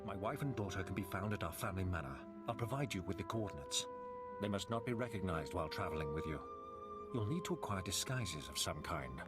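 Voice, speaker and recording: Stern, low-voiced captive under loud music. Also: male voice, animated. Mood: stern, insistent. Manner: formal, measured, demanding. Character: guarded, stern male captive bargaining for his family. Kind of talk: video game cutscene acting. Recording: loud game music behind.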